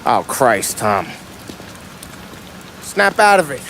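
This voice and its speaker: An actor playing a brash, hot-tempered 1930s mobster with an American accent and slangy, streetwise talk in a crime game. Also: male voice, mid-range voice, sing-song, takes it slowly, background noise. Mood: insistent, bitter. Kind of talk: cinematic crime game dialogue.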